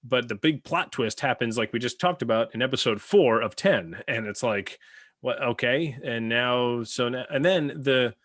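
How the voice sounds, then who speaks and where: A man co-hosting a casual review discussion, talking in a halting but emphatic, conversational way. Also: mid-range voice, a bit bright, no room sound, studio quality. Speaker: podcast host.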